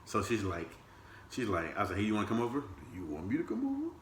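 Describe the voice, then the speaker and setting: A man in his thirties, co-hosting a podcast in casual chat with his partner, animated and jumping up high in pitch when he teases. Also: fast talker, roomy sound, heavy background noise. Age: 30s. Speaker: podcast co-host.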